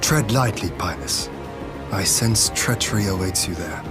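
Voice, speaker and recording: An actor playing a grave, noble warrior lord in a video game, with solemn, deliberate, archaic phrasing. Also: male voice, fairly low pitch, natural voice. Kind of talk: video game cutscene acting. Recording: loud game music behind.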